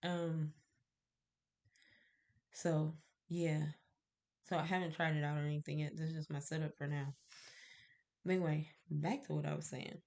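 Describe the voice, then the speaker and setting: A woman's voice: deep voice, flat delivery, moderate pace, long pauses, a little mellow. A woman with a stuffy cold, sounding nasal, chatting casually to camera about her candle-making and rambling with fillers and little laughs. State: stuffy, congested.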